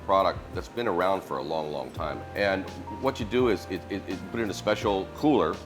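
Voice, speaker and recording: A man in his sixties from Montana with an American accent, a chef explaining beef aging in a relaxed, halting way. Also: deep voice. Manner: relaxed, repeats words as he explains. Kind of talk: casual interview. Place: commercial kitchen butchery room, indoors. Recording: music bed under the voice. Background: background music.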